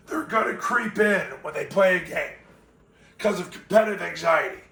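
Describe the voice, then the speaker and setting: A man with a raspy voice, hoarse from a cold, giving blunt, emphatic football coaching tips. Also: sits high, pushed and bright, echoey room.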